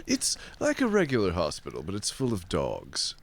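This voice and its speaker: A man's voice: sits low, huge pitch swings, moderate pace, vocal fry, full character voice. A man playing a self-important, irritable dog wizard who stammers and grumbles in a comic audio drama. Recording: faint background noise.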